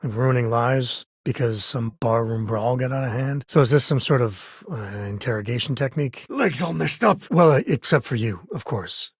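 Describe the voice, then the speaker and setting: An actor voicing a gruff, wry spacefaring cowboy father in a video game, with a husky, raspy voice and folksy, informal delivery. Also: medium pitch, moderate pace, few pauses, no echo, clean studio sound. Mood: easygoing, then urgent. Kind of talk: video game character voice lines.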